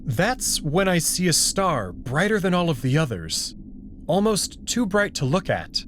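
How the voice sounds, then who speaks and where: A male narrator reads a first-person story dramatically. His pitch is fairly high for a man and moves freely, and his pace is slow. A faint layer of noise sits behind the voice. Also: very animated, a bit bright. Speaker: narrator and voice performer.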